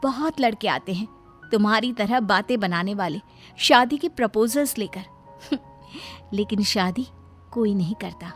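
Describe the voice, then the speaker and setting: A woman plays a young dancing girl in a cartoon tale, guarded and a little world-weary. Her voice sits at a middle pitch, with faint music behind it. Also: a little slow, natural voice. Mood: skeptical, wry. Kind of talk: cartoon moral-story voice acting. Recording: background music under the voice.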